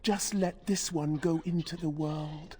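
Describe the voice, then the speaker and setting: Slow, haughty possessed demon woman. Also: low voice, fairly even intonation, a little mellow, a little hiss or hum. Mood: haughty, calculating. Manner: archaic, haughty, speaks of itself in third person. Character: menacing demon possessing a dead female warden commander. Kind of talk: video game voice acting.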